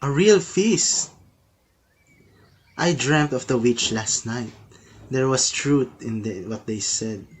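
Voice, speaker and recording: Steadfast, amiable noble father in a radio play, played by a man; earnest, average pitch, light background noise. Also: takes it slowly, long pauses, natural voice. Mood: friendly, earnest. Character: Banquo, loyal friendly nobleman, a father. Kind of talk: radio drama acting.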